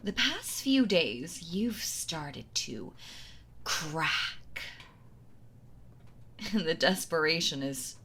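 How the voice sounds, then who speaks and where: A woman's voice: deep voice, expressive, slight background noise, heavily acted. A woman playing a possessive, sweetly cruel yandere wife in an intimate roleplay audio drama, gloating and mock-tender as she toys with her captive. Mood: smug, quiet menace. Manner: slow taunting delivery, breathy soft laughs, mock-tender.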